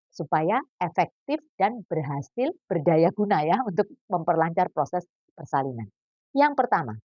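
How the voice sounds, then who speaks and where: A woman's voice: fairly low pitch, no room sound. A woman in her fifties, a doctor, giving pregnancy exercise advice in a chatty, joking way. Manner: chatty, jokey, lively explaining with asides. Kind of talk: casual health talk. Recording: dull lo-fi.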